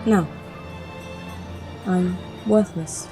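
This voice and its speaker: A woman's voice: lowish voice, steady tone, very slow, music in the background. A woman playing a grieving young princess in an audio drama, bitter, blunt and bleak. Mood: flat, defeated.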